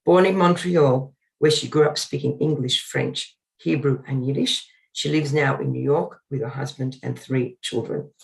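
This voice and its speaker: A man's voice: medium pitch, steady tone, normal speed, creaky, hardly any pauses, low-quality recording. A man giving an earnest community lecture, partly read from notes, with frequent ums and uhs as he explains. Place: synagogue talk.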